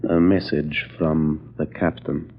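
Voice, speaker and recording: An actor playing a greedy foreign smuggler in a 1930s radio drama, smug and conspiratorial. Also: male voice, very deep voice, flat delivery, takes it slowly, exaggerated voice. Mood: urgent, secretive. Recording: muffled, noisy 1930s radio recording.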